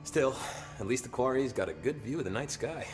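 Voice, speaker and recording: An actor playing a blunt, wry security officer in a video game, talking casually with a sardonic streak. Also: male voice, medium pitch, lively intonation, fairly quick, lightly acted. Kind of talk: video game dialogue acting. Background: music.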